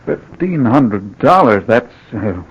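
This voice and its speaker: A man's voice: medium pitch, very animated, slow, highs cut off, loud music behind the voice. Condescending rich businessman, swoopy mid voice, evasive, old radio drama. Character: evasive, smooth-talking rich businessman, a false friend.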